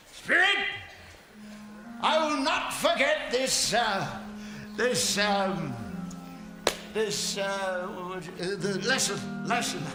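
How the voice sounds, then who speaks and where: A high, slow-spoken old miser in a musical radio play. He is theatrical, fearful and humbled, given to halting speech, with loud music underneath. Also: full character voice. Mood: nervous, pleading. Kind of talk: musical radio drama acting. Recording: long hall reverb, loud music underneath.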